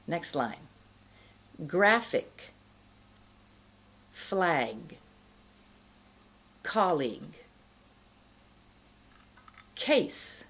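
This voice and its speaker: Middle aged american lady teacher, kinda husky, talks real slow and careful like a pronunciation class. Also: low-pitched. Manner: deliberate, careful enunciation, pausing between words. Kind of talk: instructional lesson to camera. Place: indoor studio.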